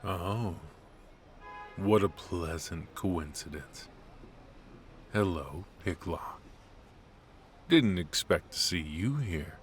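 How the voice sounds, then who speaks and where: A man acting as a deep-voiced, ruthless mafia boss. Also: expressive, slight background noise, heavily acted. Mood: teasing, smug. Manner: deliberate, smooth-talking, pausing between short phrases. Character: suave, ruthless male mafia boss, menacing and teasing. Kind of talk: intimate noir audio roleplay acting.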